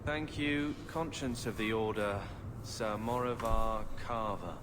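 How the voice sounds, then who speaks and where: An actor playing a sardonic young warrior in a fantasy video game, speaking in a dry, guarded yet expressive way. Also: male voice, on the low side, takes it slowly, noisy background. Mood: solemn reading.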